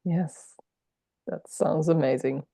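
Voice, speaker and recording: A woman interviewer on a video call, speaking in a gentle, appreciative way. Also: sits low, fairly even intonation, slow pace, creaky, no echo, clean studio sound. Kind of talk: interview wrap-up.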